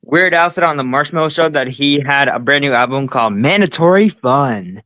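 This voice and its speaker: A man's voice: medium pitch, animated, normal speed, bright, forceful tone, no room sound, clean studio sound. A seventeen-year-old man chatting playfully on a stream, now and then hamming it up. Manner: playful, tries showy intros. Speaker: guest. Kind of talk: casual chat.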